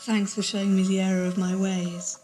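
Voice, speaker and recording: A woman's voice: mid-range voice, little pitch movement, a little fast, mellow, soft background music, lightly acted, poor audio. A woman playing a repentant but assertive former henchwoman in an audio drama, speaking earnestly. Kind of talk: 1980s amateur audio drama acting.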